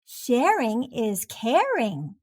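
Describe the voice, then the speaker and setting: A patient, firm lady schoolteacher from an undersea picture book, as a woman reader plays her. Her voice is high and very slow, with measured, instructive phrasing. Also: huge pitch swings, darkish tone, full character voice. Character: patient, firm female sea-creature schoolteacher.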